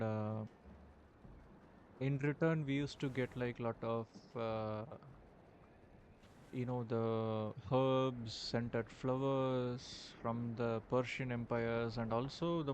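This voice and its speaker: A man with an Indian accent chatting in character during a roleplay game, halting and full of fillers. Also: mid-range voice, level tone, darkish tone, a little hiss or hum. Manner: hesitant, halting, many fillers. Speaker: roleplay streamer.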